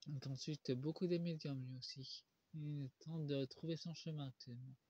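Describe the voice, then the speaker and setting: A man with a cold, doing a solo tarot card reading, slowed by many pauses and fillers. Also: fairly high pitch, steady tone, mellow. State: stuffy with a cold. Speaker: tarot reader. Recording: dull lo-fi recording.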